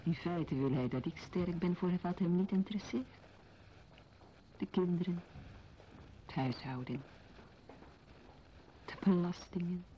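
A woman's voice: on the low side, a little slow, long pauses, warm tone, lightly acted. An actress playing a troubled, introspective wife in a radio drama monologue, confessing her unease. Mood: bitter. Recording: dull radio play, faint noise.

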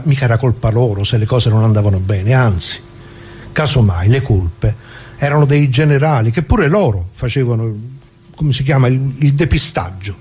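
A man's voice: medium pitch, warm tone, husky. An actor playing a chatty, good-natured but indignant retired old man in a radio play, talking in a colloquial, rambling way straight to listeners. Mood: indignant, pitying. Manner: colloquial, rambling, addresses listeners, repeats himself. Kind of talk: dramatic monologue in radio play.

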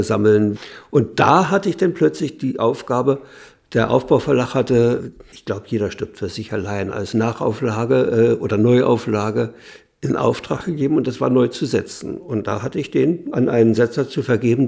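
An older man looks back in a reflective, halting interview style. His voice is rather low and full of gravelly creak. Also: a little mellow. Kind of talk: interview recollection.

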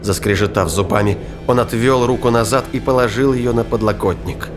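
A man's voice: medium pitch, a little fast. A man narrating a science fiction thriller in a dramatic, scripted storytelling style. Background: music.

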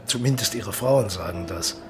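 Actor as urbane, self-assured aging colonel. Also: male voice, lowish voice, normal speed, music in the background, close to an everyday voice. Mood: evasive politeness. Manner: authoritative without barking, politely bored. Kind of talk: noir radio play dialogue.

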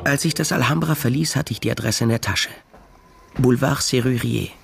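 An actor playing a world-weary private detective who narrates a crime story in a measured, sombre radio drama style. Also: male voice, medium pitch, gravelly, soft background music, natural voice. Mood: reflective. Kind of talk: scripted first-person radio drama narration.

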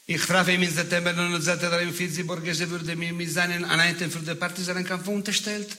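Nostalgic old fascist, theatre monologue, sardonic n gloating, kinda high tense punchy voice. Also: male voice, flat delivery, bright, forceful tone. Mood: bitterly boastful. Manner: sardonic, gloating, declamatory with emphatic pauses and repetitions. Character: unrepentant, nostalgic old Italian fascist recounting war crimes.

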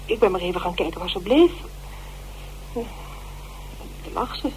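An actress playing a prim, loyal older theatre dresser in a radio drama, hesitant and disapproving. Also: female voice, mid-range voice, animated, normal speed, a little mellow, slight background noise, natural voice, low-quality recording. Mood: hesitant, mournful.

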